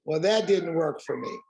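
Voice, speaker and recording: A woman with a raspy voice, speaking as a guest in a candid interview and pressing her points with emphatic rhetorical questions. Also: low voice, background noise.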